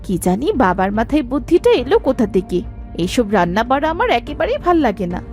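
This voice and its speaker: A high, very animated voice, a young village daughter in a cartoon fairy tale. She sounds girlish and expressive, with faint music underneath. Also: fast. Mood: grumbling. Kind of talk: animated folktale dialogue acting.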